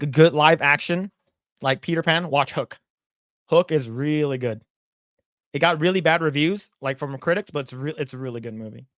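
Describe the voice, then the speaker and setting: Young guy podcast host, kinda high voice, rambly and blunt, dry sound. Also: dry recording. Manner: rambling, blunt, casual swearing. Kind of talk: casual chat.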